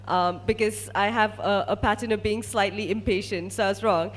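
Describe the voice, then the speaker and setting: A woman's voice: mid-range voice, fairly even intonation, normal speed. A woman from Malaysia, a research scientist, telling a personal story to an audience as a testimonial.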